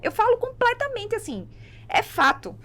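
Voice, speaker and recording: A Brazilian woman chatting emphatically on a podcast, telling things in an animated conversational way. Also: very high voice, normal speed. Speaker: podcast host and mentor.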